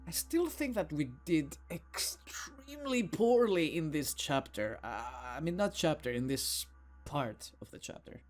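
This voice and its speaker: A man's voice: on the high side, animated, measured pace, faint music behind. A man doing casual game commentary, playful, with muttered asides and exclamations. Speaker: gaming video host.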